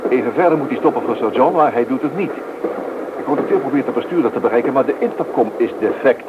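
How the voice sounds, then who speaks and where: Radio drama inspector, male actor: resolute, businesslike, quick delivery, thin and noisy vintage sound. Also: medium pitch, animated, close to an everyday voice. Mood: resolute, urgent. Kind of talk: radio drama acting in Dutch. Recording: tinny, noisy old radio play recording.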